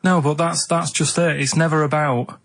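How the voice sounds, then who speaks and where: A man's voice: fairly high pitch, steady tone, moderate pace, vocal fry. A man chatting on radio as producer and co-host, plain-spoken, earnest and a bit defensive. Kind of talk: casual radio chat. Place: radio studio.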